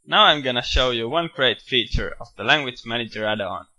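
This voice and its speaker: A man walking through a software screencast, explaining each step in a steady, matter-of-fact way with bits of enthusiasm. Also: medium pitch, a little fast, dull audio. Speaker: software tutorial presenter. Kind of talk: screencast walkthrough narration.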